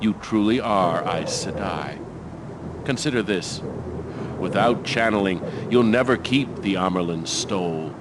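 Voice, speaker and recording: A man's voice: fairly low pitch, very noisy, close to an everyday voice. An actor playing a menacing, scheming male villain in a video game cutscene, with a theatrical manner. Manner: taunting, persuasive, theatrical. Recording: loud music under the voice. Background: cinematic game music.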